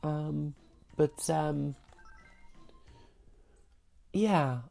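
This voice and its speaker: Casting: woman game streamer, low mellow husky voice as if getting over sickness, casual and apologetic, music behind. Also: very slow. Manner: self-deprecating, apologetic, giggly. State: recovering from sickness. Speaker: live streamer playing retro games. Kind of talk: casual live-stream gaming chat.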